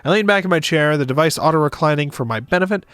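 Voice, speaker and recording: A man's voice: fairly high pitch, fast, fairly bright tone, clean tone, no room sound. A man narrating a visual novel aloud for a stream, with casual asides. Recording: music behind the voice. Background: music.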